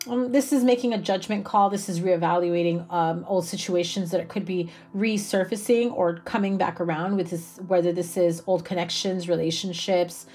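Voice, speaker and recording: A young woman giving a casual tarot reading to camera indoors, slightly hoarse from a cough, chatty with many fillers and a soft, reassuring manner. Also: fairly low pitch, fairly even intonation, moderate pace, few pauses. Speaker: tarot reader. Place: indoor room with a candlelit table.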